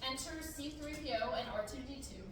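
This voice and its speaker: A woman's voice: high-pitched, a little fast. Upbeat woman reading stage directions. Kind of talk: table reading. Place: large classroom. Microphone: far camera. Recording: echoey room from far off, loud hiss.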